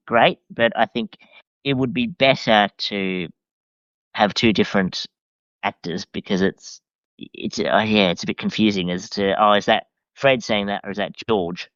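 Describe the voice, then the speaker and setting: Man, lowish pitch, podcast guest, hesitant casual review, dull dry recording. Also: fairly bright tone, dry recording. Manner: hesitant, halting, often restarting phrases.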